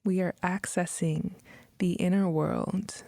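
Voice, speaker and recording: A woman leading a guided meditation in a soft, breathy, measured manner with long pauses. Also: lowish voice, steady tone, no room sound. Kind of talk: guided meditation, scripted narration.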